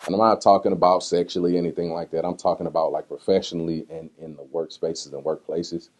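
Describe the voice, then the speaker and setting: A man's voice: low-pitched, fast. A man talking casually and candidly as an interview guest, in slangy conversational speech, acting out what people said as he tells stories. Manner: slangy, conversational, animated retelling with acted-out quotes. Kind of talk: casual candid interview talk answering personal questions.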